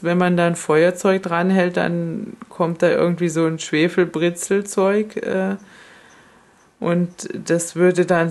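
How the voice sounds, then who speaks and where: Woman podcasting, slow and rambly, lowish voice, lots of ähs. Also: darkish tone. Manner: slow, rambling, many fillers and restarts. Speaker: podcast host. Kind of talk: casual podcast chat.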